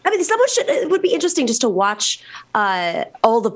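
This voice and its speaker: An American woman speaking off the cuff as a political panelist, often halting and repeating words as she forms her thoughts. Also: fairly high pitch, huge pitch swings. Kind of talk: unscripted political discussion.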